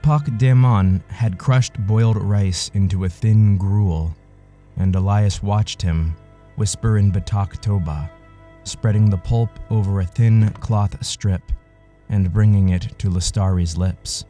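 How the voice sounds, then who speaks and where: A man narrating a scripted literary audio story in a measured, solemn manner. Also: lowish voice, fairly even intonation, dark tone, faint music behind.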